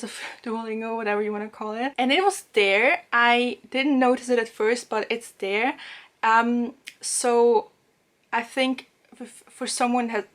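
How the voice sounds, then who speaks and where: A young woman from German-speaking Switzerland, a native Swiss German speaker, chatting casually to camera about language apps with frequent ums and little laughs, in a small room. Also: fairly high pitch. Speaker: language vlogger. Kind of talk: casual app review to camera. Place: small room indoors, plain blue wall.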